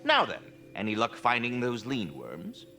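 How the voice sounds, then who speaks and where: A genteel old-world giant squirrel from a video game. The voice is bright and swings widely in pitch, with flowery, formal, wry courtesy. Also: male voice, huge pitch swings, unhurried, slight background noise, full character voice. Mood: courteous. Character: genteel, theatrical giant immortal squirrel, keeper of a world tree. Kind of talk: video game character dialogue.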